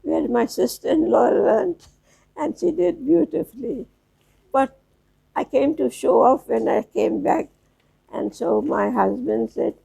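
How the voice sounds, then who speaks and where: Gravelly-voiced woman in her nineties. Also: fairly high pitch, mellow, poor audio. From South Africa. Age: 90s. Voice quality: gravelly, husky. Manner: slow, halting storytelling with restarts. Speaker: interview guest. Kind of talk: reminiscing in a casual interview.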